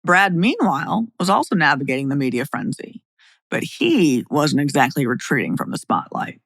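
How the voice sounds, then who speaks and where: A woman's voice: low-pitched, very animated, moderate pace, gravelly, fairly bright tone, no room sound. A middle-aged woman speaking as a podcast host and attorney, in a blunt, plain-spoken way. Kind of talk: scripted narration and opinionated podcast talk.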